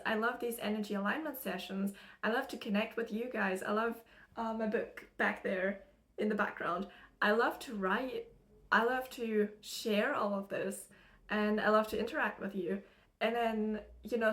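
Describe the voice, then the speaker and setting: A woman's voice: medium pitch, a bit bright. A young woman from Germany with an American English accent, chatting in a rambling, casual way with lots of fillers while she does her makeup in her room. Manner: chatty, rambling, laughs often, many fillers. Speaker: spiritual channeler and content creator. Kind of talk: casual get-ready-with-me chat. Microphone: camera used as a mirror, close-up.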